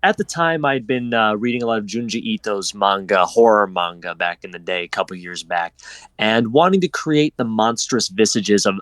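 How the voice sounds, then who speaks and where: Expressive low-voiced man, very animated. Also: dry recording. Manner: effusive, expressive. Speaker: audio drama narrator on a panel. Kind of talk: casual retrospective discussion.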